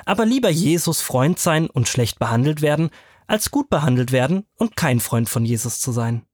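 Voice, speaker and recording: A man as a guest storyteller, explaining Bible stories to children in simple, clear phrases. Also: on the high side, very animated, normal speed, a little mellow, no room sound, studio quality.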